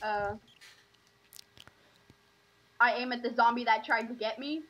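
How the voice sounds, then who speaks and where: A woman's voice: on the high side, steady tone, unhurried, fairly bright tone, highs cut off. A woman chatting casually as a player in a role-play game.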